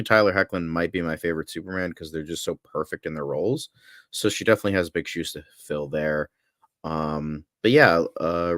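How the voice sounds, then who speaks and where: A man with a low voice co-hosts a podcast in a dry, close sound. He gives his opinions as a fan, casually and ramblingly, leaning on filler phrases. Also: fairly bright tone, no room sound. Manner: rambling, peppered with "like" and "you know". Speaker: podcast co-host.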